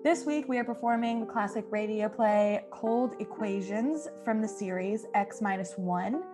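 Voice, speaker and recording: A woman's voice: medium pitch, moderate pace, music in the background. A woman hosting a podcast, reading a scripted introduction to the show. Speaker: podcast host.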